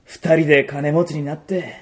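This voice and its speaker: A man playing a blunt, emotional teenage boy, a gruff but loyal friend, in an amateur radio drama. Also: fairly high pitch, little pitch movement, moderate pace, close to an everyday voice. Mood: tender.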